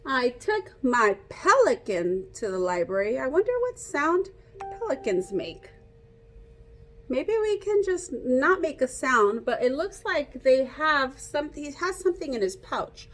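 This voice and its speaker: Female children's read-aloud teacher, fairly high voice, very unhurried, interactive, explains simply and asks questions. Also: big pitch swings, a bit bright. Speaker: teacher reading a picture book aloud to children.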